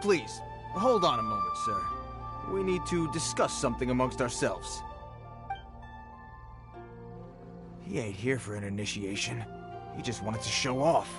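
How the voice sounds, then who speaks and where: A swaggering bandit leader from a video game, voiced by a man, who talks big. His mid-pitched voice moves up and down with lively emphasis. He is quick to turn nervously polite. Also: music in the background, natural voice. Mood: worried. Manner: cocky, then nervously polite. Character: brash male bandit leader, turns servile.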